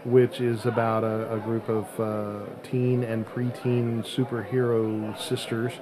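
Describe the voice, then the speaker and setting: A man's voice: medium pitch, level tone, slow, a crowd in the background. A man hosting a podcast in a casual, conversational style. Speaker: podcast host.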